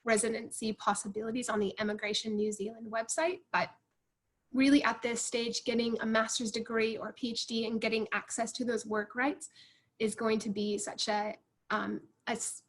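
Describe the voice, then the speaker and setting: A woman's voice: a little high, little pitch movement, moderate pace. A woman with an American accent who moved abroad, answering questions on a webinar in an encouraging, friendly way. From United States. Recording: online video call.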